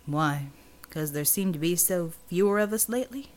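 A woman voicing a gruff, sardonic male loner in an audiobook, terse and folksy, guarded with strangers. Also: fairly low pitch, lively intonation, normal speed, a little mellow, pitched below the performer's own voice, lightly acted. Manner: terse, drawling, folksy, dropped words.

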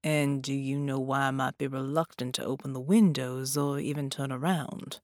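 A woman voicing a wary, guilt-ridden superhero man in audiobook dialogue, speaking low and courteously. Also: fairly even intonation, normal speed, no room sound, clean studio sound. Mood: guarded. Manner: low, hushed, formal and courteous.

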